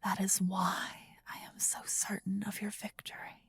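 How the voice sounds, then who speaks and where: A woman voicing a possessive, adoring demon queen in a breathy, husky whisper for a fantasy ASMR roleplay, intimate and soothing with formal phrasing, close to the microphone. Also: medium pitch, level tone, unhurried, a bit bright, dry recording, heavily acted. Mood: adoring, intense. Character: possessive, adoring demon queen, tender yet menacing female lover. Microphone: close ASMR microphone, dry.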